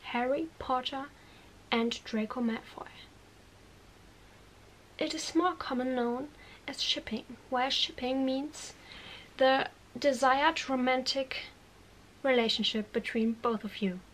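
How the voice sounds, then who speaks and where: High, slow-spoken bookish witch played by a woman, whispery ASMR, earnest and bossy, faint noise behind. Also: poor audio. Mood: lecturing. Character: bookish, exasperated young witch lecturing two boys.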